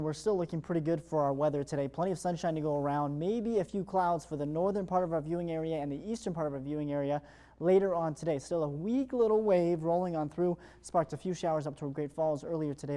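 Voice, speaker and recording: A man's voice: fairly high pitch, fast, warm tone. A man presenting a live morning weather forecast as a television meteorologist, in upbeat, ad-libbed broadcast patter.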